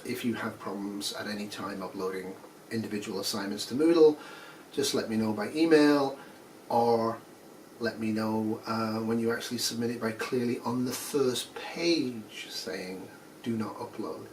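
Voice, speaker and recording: A man's voice: lowish voice, moderate pace, far from the mic, background noise. A man in his fifties, a nursing instructor giving blunt, dry course announcements with sarcastic asides. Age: 50s.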